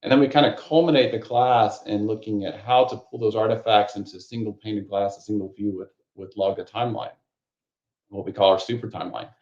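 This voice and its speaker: Man, lowish voice, slightly raspy, rambling with fillers and restarts, instructor explaining a course, slightly muffled audio. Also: fairly even intonation, brisk, a little mellow. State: slightly raspy voice, needs a cough drop. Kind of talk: conversational technical course walkthrough.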